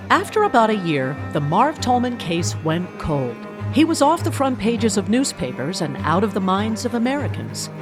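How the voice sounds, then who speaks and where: A woman's voice: medium pitch, big pitch swings, normal speed, hardly any pauses, music in the background. A woman delivering scripted narration for a mock documentary.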